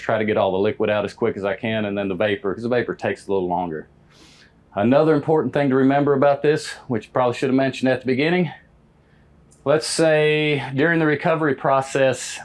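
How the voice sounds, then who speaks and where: Man in his fifties, American accent, medium pitch, folksy, practical, hands-on instructor, casual explaining. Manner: plain-spoken, folksy, practical. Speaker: HVAC technician instructor. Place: indoor parts warehouse. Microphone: lapel mic.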